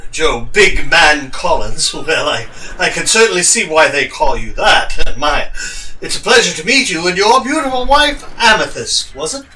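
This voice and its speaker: A man's voice: on the high side, expressive, moderate pace, bright, forceful tone, recorded from a distance, rough recording. A man playing a hesitant, stammering, disgraced sea captain in an audio drama. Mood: flustered, welcoming. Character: disgraced sea captain, flustered, wary, eager to please.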